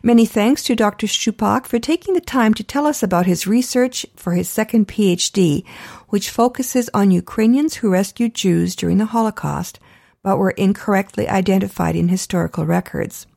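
Lady radio host, friendly, a bit of vocal fry, dry studio sound. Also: mid-range voice, moderate pace, darkish tone, dry recording. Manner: friendly, with light laughs. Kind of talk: radio interview and show outro.

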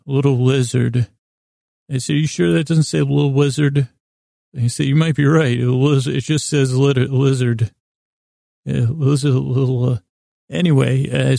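Gravelly, mellow, rambling male podcast host. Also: medium pitch, no room sound, clean studio sound. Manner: meandering, halting, stammering, repetitive, silly digressions. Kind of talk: rambling bedtime podcast monologue.

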